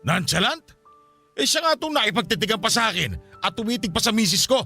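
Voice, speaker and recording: A man's voice: sits high, big pitch swings, fast, sharp tone, slightly rough, faint music behind, heavily acted. A man with a Filipino accent playing an irritable married man who scolds and blames his mistresses in a radio drama. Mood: exasperated, accusing. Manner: exasperated, scolding.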